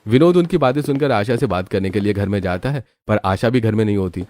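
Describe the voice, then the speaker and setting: A man's voice: fairly low pitch, fast talker, a little mellow. A man reading scripted story narration as the storyteller.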